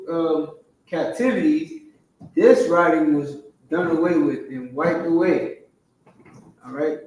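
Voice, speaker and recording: A man with a fairly high voice teaching slowly, pausing often to check that his students follow along. He sounds off from the mic in a very echoey room. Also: darkish tone, recorded from a distance. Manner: slow, repetitive, checks in with "all right" and "you understand". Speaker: Hebrew class teacher. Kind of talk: classroom teaching over a chart.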